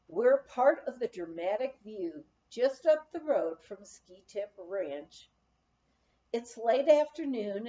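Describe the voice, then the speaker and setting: A woman reading a memoir aloud as scripted narration, in measured short phrases with pauses. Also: on the high side, dark tone. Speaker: audiobook reader of a memoir. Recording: dull, lo-fi recording.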